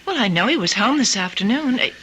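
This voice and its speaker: An actress playing an anxious, loyal, polite young wife in old-time radio drama, earnest and dramatic. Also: female voice, fairly high pitch, animated, quick pace, background noise, lightly acted. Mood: worried, protesting.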